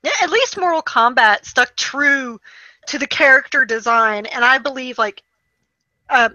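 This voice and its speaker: A woman with a slightly high, very bright and forceful voice talks casually as a co-host. She is conversational and opinionated, and the recording is dry and close. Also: a little slow, no room sound, lo-fi sound. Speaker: podcast co-host. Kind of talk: casual chat and commentary.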